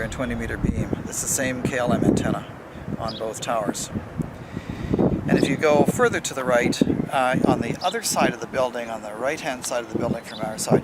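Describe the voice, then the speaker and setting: A man giving an informal, methodical explanatory tour as a member of an amateur radio club. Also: medium pitch. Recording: noisy ambient amateur video sound.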